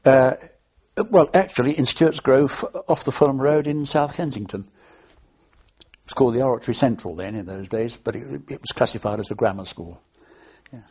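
A man's voice: medium pitch, normal speed, a little mellow, husky, highs cut off. An older man from London, reminiscing at length in an interview, rambling with many hesitations. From London, England. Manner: rambling, anecdotal, frequent hesitations. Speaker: oral history interviewee. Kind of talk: reminiscing, unscripted.

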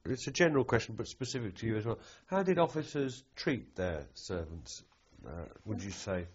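A man's voice: fairly low pitch, big pitch swings, moderate pace, slightly rough. A man putting probing questions in an oral history interview, often rephrasing as he goes. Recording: dull, muffled tape sound.

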